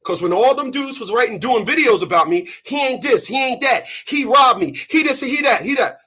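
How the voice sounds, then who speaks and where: A man in his thirties, sweaty after a run, giving an animated, preachy monologue to his channel's viewers. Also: very high-pitched, normal speed, breathy edge. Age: 30s. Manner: animated, preachy, mocking quoted voices. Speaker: channel host. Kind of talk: casual monologue to camera.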